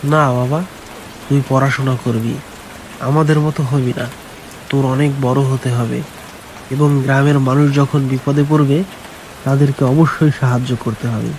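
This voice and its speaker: A man playing a worried, despairing village farmer and father in an animated folk tale, with a plaintive, lamenting manner. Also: medium pitch, moderate pace, long pauses, natural voice, poor audio. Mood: troubled, earnest. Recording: faint hiss and rain noise.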